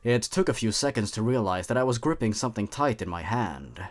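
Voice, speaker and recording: A man reads horror fiction as a storyteller, with a voice on the low side. His pitch moves widely as he goes, giving the scripted narration a theatrical, suspenseful feel. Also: lively intonation, brisk.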